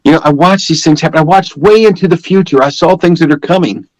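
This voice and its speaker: A man's voice: a little high, fast talker, darkish tone, dry recording, low-quality recording. An older man giving a personal religious testimony as a guest, telling it in an emphatic, repetitive, conversational way.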